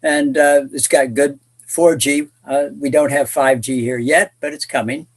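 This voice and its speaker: A man's voice: on the high side, fairly even intonation, measured pace. A man, a cruising guide author, joining a webinar by video call from his boat, enthusiastic with small restarts. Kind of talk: guest on a webinar.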